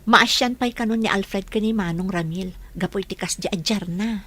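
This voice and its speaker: A woman's voice: medium pitch, moderate pace, a bit bright, natural voice. A woman with an Ilocano accent playing a gossipy, sympathetic young village friend in a radio drama, confiding and insistent.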